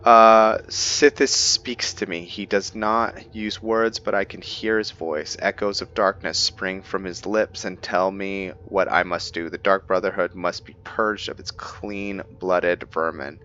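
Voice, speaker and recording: Deep-voiced guy doing a slow stumbly read of game journals, kinda dull lo-fi mic. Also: steady tone, pushed and bright, slight background noise. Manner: halting reading with frequent self-corrections. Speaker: gamer playing and narrating. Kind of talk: roleplay let's play, reading in-game journals aloud.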